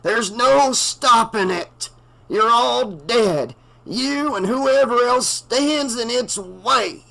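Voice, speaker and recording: A man voicing a drunk, bloodied old reverend who slowly proclaims doom. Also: extremely high pitch, expressive, creaky, sharp tone, voice pushed up, exaggerated voice, low-quality recording. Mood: doom-laden. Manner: slow, prophetic proclamations.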